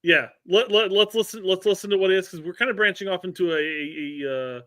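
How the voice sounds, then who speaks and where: A man's voice: high voice, quick pace, fairly bright tone. A man hosting a casual podcast chat, often restarting his sentences and agreeing readily. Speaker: host.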